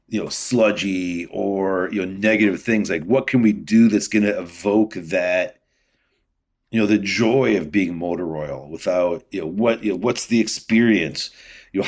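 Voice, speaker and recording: Male interview guest, lower-pitched voice, informal explainer, conversational and peppered with filler phrases. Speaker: guest, distillery founder and whiskey maker. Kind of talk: casual interview chat.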